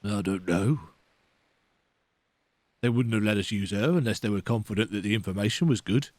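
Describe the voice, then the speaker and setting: A man voicing a calm, commanding soldier in a fantasy video game, curt and assured. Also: on the low side, normal speed, dry recording, pitched below the performer's own voice, close to an everyday voice. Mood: measured. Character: stern, calm, commanding male imperial officer.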